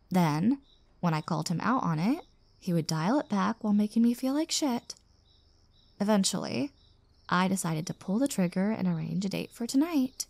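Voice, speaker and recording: A woman's voice: medium pitch, vocal fry, darkish tone, dry recording. A woman playing an exasperated single young woman venting about a bad date in an intimate audio roleplay, with sarcastic asides. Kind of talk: roleplay audio drama, intimate conversational monologue.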